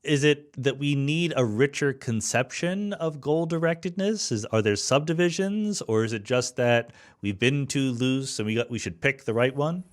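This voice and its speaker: A man's voice: mid-range voice, normal speed, hardly any pauses. A man hosting an interview podcast, musing aloud in an informal, wry way and posing questions. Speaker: podcast host.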